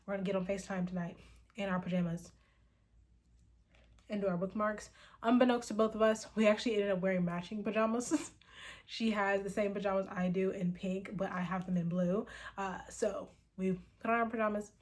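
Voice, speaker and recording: A woman's voice: mid-range voice, fairly even intonation, brisk. A woman in her late twenties chatting casually to camera in a personal vlog, rambling and swearing freely, sometimes dropping into a whisper. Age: 20s. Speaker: vlogger.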